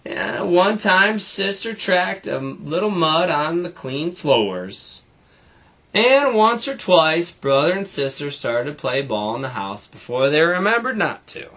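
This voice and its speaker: Animated, bright-voiced man reading to children. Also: a little high, slow. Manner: explains and comments for young listeners. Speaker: storybook reader for schoolchildren. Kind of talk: expressive children's read-aloud.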